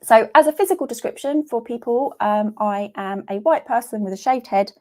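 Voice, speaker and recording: A woman's voice: on the high side, moderate pace, darkish tone. Chatty, giggly woman host. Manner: chatty, giggly, many fillers. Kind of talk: casual online session hosting. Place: home, video call.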